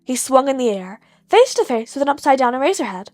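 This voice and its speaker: A woman reads a story as its narrator, in a fairly high voice. The delivery is expressive and scripted, and the sound is dry, with no room on it. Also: quick pace, no echo, soft background music.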